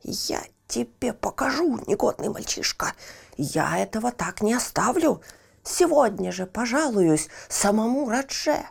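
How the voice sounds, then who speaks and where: Woman voicing a sly, animated, blustering royal barber. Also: a little high, unhurried, vocal fry, husky, exaggerated voice. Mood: angry, blustering. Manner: wheedling with customers, blustering when crossed. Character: sly, boastful, quarrelsome male royal barber and informer.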